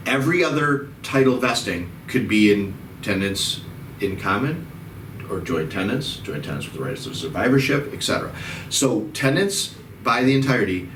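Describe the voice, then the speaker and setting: A man's voice: fairly low pitch, background noise. A man with a Chicago-area American accent, hosting a casual talk and patiently explaining with many repeats and restarts. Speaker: title company host. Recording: echoey room, mic at a distance.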